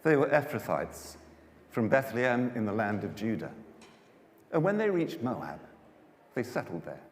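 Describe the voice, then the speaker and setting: A man's voice: medium pitch, sing-song, a little slow. Man telling scripture in an echoing hall. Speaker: Bible story reader. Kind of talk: dramatised scripture storytelling to a congregation. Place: large church auditorium. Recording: echoey church hall, long reverb.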